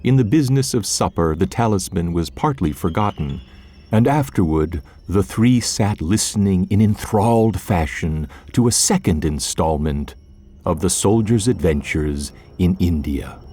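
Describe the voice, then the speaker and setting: A man's voice: low-pitched, animated, unhurried, mellow, breathy edge, soft background music. A man narrating a literary story as part of a scripted audio drama.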